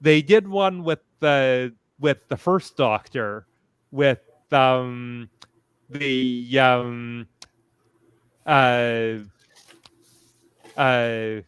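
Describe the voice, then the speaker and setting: Slow, smooth-voiced man discussing thoughtfully. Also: medium pitch, fairly bright tone, no echo. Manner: hesitant, halting, thoughtful phrasing. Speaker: panelist. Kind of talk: fan panel discussion.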